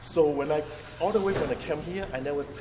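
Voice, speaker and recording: A man from Vietnam, explaining food as a tour guide in a halting way, full of restarts and fillers. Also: on the high side. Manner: halting, many restarts and fillers, thinks aloud while explaining. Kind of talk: informal guided-tour talk. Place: outdoors on a busy street near a market. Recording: distant, echoey street recording with traffic noise.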